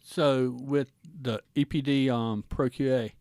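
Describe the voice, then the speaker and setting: A man's voice: medium pitch, steady tone, takes it slowly, darkish tone, no echo. Middle-aged American male host, mid-range voice, colloquial and folksy questioning, very dry close sound. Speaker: guest host, former law enforcement officer. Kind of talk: casual interview chat.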